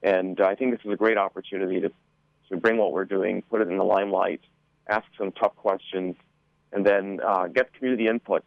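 A deep-voiced man comes through a narrow, phone-like connection with little room sound. He talks as an official on a radio panel, careful and halting, doubling back on words as he explains. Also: fairly even intonation, gravelly, dry recording. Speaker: school board member. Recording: muffled narrow phone-like line.

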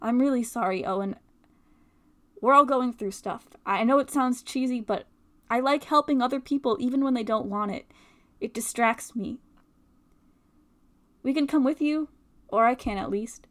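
A woman's voice: on the high side, moderate pace, pauses often, fairly bright tone, natural voice. A young woman playing a blunt, anxious but kindhearted college student in a dramatic play reading. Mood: apologetic, earnest. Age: young adult.

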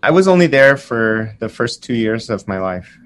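A man in his forties, born in Vietnam, speaking as a physician guest and telling his family story in a relaxed, conversational way. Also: mid-range voice, normal speed. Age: 40s. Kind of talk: conversational interview.

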